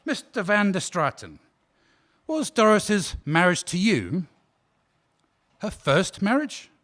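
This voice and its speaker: A man's voice: sits high, sing-song, slow, fairly bright tone, heavily acted. A man playing a formal, probing defense lawyer. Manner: polite, precise, insistent questioning. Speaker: defense counsel in a courtroom drama. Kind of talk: audio drama acting.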